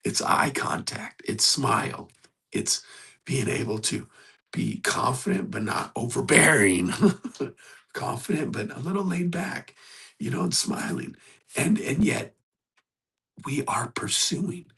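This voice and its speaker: Hoarse, gravelly man coaching earnestly. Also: medium pitch, animated, takes it slowly, sharp tone. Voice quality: gravelly, husky. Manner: earnest, exhorting, conversational. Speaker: host. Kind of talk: motivational coaching talk.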